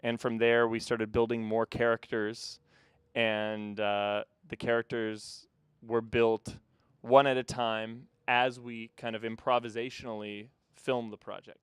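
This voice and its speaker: Californian man, filmmaker in an interview. Smooth voice, close and dry sound, measured delivery. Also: mid-range voice, flat delivery, fairly bright tone, no room sound. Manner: measured, explanatory, some fillers. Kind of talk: casual interview answers.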